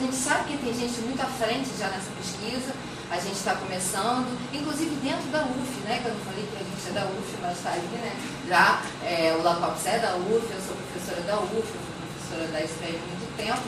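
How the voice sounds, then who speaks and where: Brazilian lady professor chatting through a research talk, far from the mic, clear background noise. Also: medium pitch. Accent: Brazilian Portuguese. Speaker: university communication professor presenting research. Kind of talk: informal academic presentation. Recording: very echoey, distant mic, noisy background.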